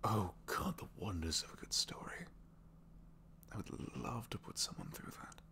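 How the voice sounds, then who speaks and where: Man acting a deep, creaky, gentle supervillain. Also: moderate pace. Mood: shy, dreamy. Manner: hesitant, soft-spoken, rambling, often dropping to whispers. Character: captured supervillain, gentle, bookish, shyly earnest dreamer. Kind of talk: intimate ASMR audio roleplay.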